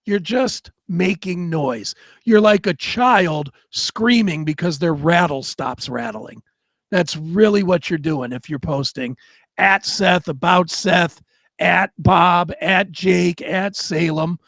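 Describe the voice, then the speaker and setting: High-pitched, blunt man talking, dry sound. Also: takes it slowly, creaky, fairly bright tone, no room sound. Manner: blunt, opinionated, swears freely. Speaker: talk show co-host. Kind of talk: casual, profane talk show banter.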